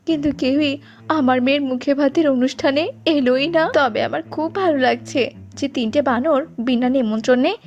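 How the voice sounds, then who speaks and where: Woman playing poor young cartoon mother, very high voice, humble, earnest, devoted, music behind. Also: a little mellow, lo-fi sound, close to an everyday voice.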